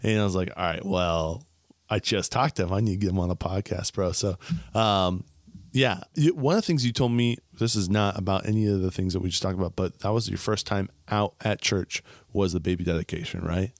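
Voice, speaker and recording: A man in his thirties hosting a casual podcast interview, chatty and upbeat, speaking into a studio mic on a boom arm in a small home studio. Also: fairly quick, no echo. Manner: chatty, upbeat, frequent laughs and "bro". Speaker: podcast host. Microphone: studio mic on boom arm, headphones.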